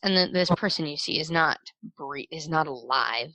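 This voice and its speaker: Low-pitched, bright-voiced young woman game master. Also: dry recording, low-quality recording. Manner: halting, casual scene description. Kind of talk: improvised tabletop game narration.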